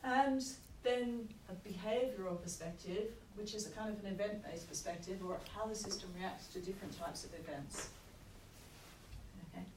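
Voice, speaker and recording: A woman lecturer giving a university lecture with a croaky voice, explaining at a measured pace with frequent checks like "okay". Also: medium pitch. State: croaky, recovering from lost voice. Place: lecture hall. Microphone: room recording from far off. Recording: echoey hall, distant mic, noisy.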